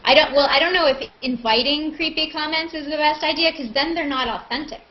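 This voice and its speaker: A woman with a fairly high voice hosts a casual online hangout in a playful, conversational way. The sound is a dull video call with some noise behind her. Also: fairly quick. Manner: playful, prone to laughing. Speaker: host of a live online hangout. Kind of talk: casual chat. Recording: dull, noisy web call audio.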